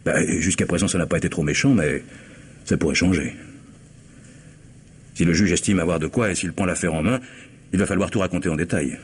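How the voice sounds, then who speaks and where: Very deep-voiced, earnest man in radio drama. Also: fairly quick, pauses often, husky. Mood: worried. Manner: earnest, emphatic, scolding. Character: stern, agitated man secretly in love, exasperated and anxious. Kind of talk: French radio play acting. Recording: noisy old radio drama recording.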